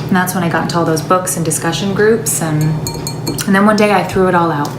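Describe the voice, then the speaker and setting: A woman's voice: fairly low pitch, quick pace, lightly acted. A woman acting a chatty, wistful, self-deluding woman who confides in her sister in a confessional stage monologue. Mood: rueful, exasperated. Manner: confessional, rambling, emphatic. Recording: echoey room with background noise.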